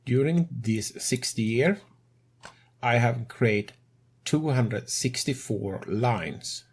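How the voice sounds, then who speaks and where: A man speaking English as a second language, narrating a game tutorial as host in a measured way with non-native grammar. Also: mid-range voice, slightly rough. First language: not English.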